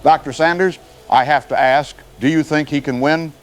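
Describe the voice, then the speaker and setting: A man playing a serious, probing news anchor in an audio drama broadcast, measured and formal with a concerned manner. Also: a little high, fairly even intonation, a little hiss or hum, close to an everyday voice. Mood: concerned, incredulous.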